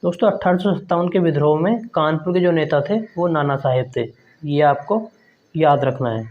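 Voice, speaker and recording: A man's voice: fairly high pitch, normal speed. A man teaching an exam prep lesson, reading quiz questions and answer options aloud and often addressing his viewers as friends. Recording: dull lo-fi sound.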